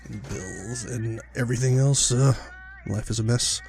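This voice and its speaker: Gaming host, male, rambling casual commentary; gravelly, dark voice with a lingering cold. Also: mid-range voice, unhurried, faint music behind. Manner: rambling, filler-heavy, relaxed. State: recovering from illness, sniffly, clearing his throat. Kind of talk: casual let's-play commentary.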